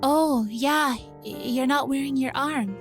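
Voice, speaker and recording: A woman playing a stubborn yet vulnerable young mech pilot in an audio drama, speaking haltingly. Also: high voice, faint music behind. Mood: sheepish. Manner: halting, unsure.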